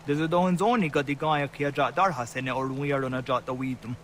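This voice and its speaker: An actor playing a solemn, earnest villager in a historical video game, speaking in measured dramatic dialogue. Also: male voice, mid-range voice, steady tone, slight background noise, close to an everyday voice. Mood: earnest, insistent.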